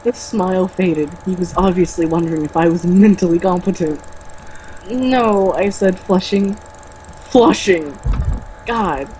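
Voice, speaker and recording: A woman's voice: lowish voice, measured pace, mellow, slight background noise, low-quality recording. A woman reading a book aloud as a co-host, mocking it with sarcastic, exasperated comments.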